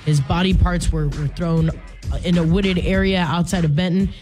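A woman's voice: lowish voice, fairly even intonation, moderate pace, darkish tone, soft background music. A woman hosting a morning radio show in a playful, informal, chatty way, a native Spanish speaker talking in English. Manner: playful, informal, conversational asides and slang.

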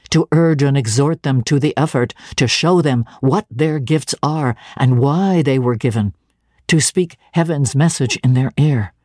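A woman's voice: a bass voice, dark tone, slightly rough, no echo, studio quality, voice pushed down, heavily acted. A woman voicing a stern, zealous young clergyman who speaks with solemn, commanding deliberation.

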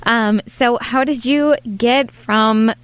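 A woman's voice: fairly high pitch, slow, clear, resonant tone, no echo. A woman hosting a podcast, narrating and asking questions in a conversational way. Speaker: podcast host. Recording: music bed under the voice. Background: music.

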